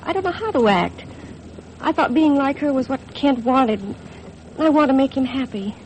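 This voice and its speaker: Over faint vintage radio noise, an insecure young American bride. Her voice is high and wistful, in the earnest manner of 1950s radio drama. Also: lively intonation, moderate pace, darkish tone, low-quality recording. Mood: confused, sad. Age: young adult.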